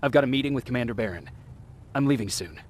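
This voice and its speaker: A man's voice: medium pitch, expressive, fast talker, natural voice. Distant, reserved male soldier played by an actor. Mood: calm, reserved. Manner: reserved, terse. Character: calm, courteous young male resistance soldier. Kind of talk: dramatic video game acting. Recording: distant voice, background noise.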